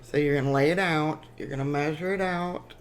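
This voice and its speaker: A woman with a raspy, hoarse voice from a cold, hosting a chatty step-by-step crafting tutorial with frequent ums. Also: sits low, a little fast.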